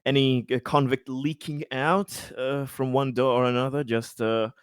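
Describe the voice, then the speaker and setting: Male player, mid-pitched, casual stop-start talk with repeated words, very dry recording. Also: little pitch movement, moderate pace, clean tone, no echo. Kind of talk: casual tabletop game chat.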